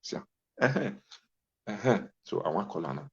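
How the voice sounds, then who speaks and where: A man's voice: low voice, very animated, moderate pace. A Nigerian-accented man delivering an impassioned informal rant to his audience in Pidgin, exclamatory and insistently repeating his phrases. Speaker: talk show host. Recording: dull lo-fi audio.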